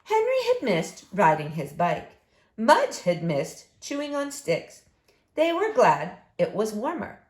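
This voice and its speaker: A woman's voice: mid-range voice, huge pitch swings, takes it slowly, darkish tone. A woman reading a picture book aloud expressively to young listeners. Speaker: story reader for children. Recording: echoey room.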